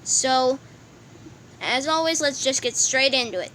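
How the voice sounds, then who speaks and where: Female channel creator, casual intro talk, very high voice, unhurried, slightly noisy home mic. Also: expressive, pushed and bright. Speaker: comic dub channel creator. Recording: hissy home recording.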